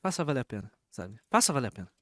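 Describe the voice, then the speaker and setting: A man's voice: mid-range voice, very animated, rapid-fire, no room sound, studio quality. A Brazilian man doing casual live reaction commentary as a streamer, slangy and sarcastic, often repeating his phrases.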